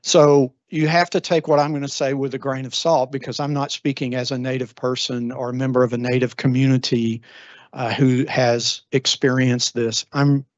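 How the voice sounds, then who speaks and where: A man's voice: mid-range voice, flat delivery, moderate pace, no room sound, highs cut off. A middle-aged man giving an earnest, thoughtful lecture, with frequent ums and restarts. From Texas, USA. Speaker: guest lecturer. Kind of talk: informal lecture. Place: library meeting room. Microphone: handheld microphone.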